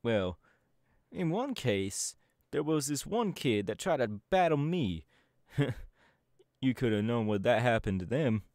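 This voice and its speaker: Man voicing a swaggering young DJ crew boss, very lively pitch, dry close sound, boastful. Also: takes it slowly, pauses often, no echo. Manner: boastful, swaggering, talks to the listener. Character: cocky young male DJ gang boss. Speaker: Team Star leader in a roleplay. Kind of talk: audio roleplay.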